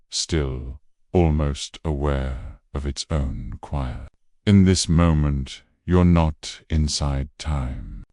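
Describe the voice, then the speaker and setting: A man narrating a bedtime sleep meditation in a slow, hushed, gentle voice that trails off softly. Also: very deep voice, dry recording, studio quality. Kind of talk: soothing scripted bedtime narration.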